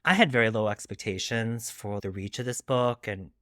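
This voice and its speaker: A man's voice: lowish voice, moderate pace, fairly bright tone, no echo. A middle-aged man from Long Island, New York, speaking candidly and reflectively as a guest in an interview, often adding asides. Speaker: guest author.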